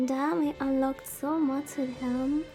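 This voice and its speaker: High-voiced woman streamer, slow and playfully dramatic. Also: steady tone, warm tone. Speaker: VTuber streamer, host. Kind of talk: casual livestream chat while playing a visual novel. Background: background music.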